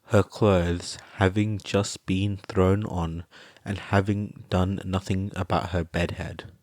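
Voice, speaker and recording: A man reading a fanfiction story aloud as narrator, steady and measured. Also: low voice, dry recording. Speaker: podfic reader.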